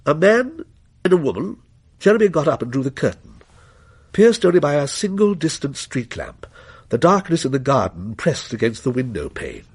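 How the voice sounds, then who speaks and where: A man narrating a scripted dramatic story in a measured, literary manner. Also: medium pitch, sing-song, husky.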